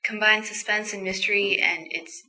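A woman's voice: medium pitch, steady tone, normal speed, sharp tone, rough recording. A teenage girl giving a short, semi-prepared book review. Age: teen.

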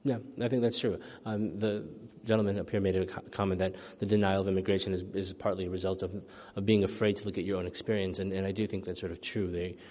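A man's voice: sits low, level tone, fast, hardly any pauses, a little mellow. A middle-aged male author from the United States, thoughtfully answering audience questions after a reading, with many ums and restarts. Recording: long hall reverb.

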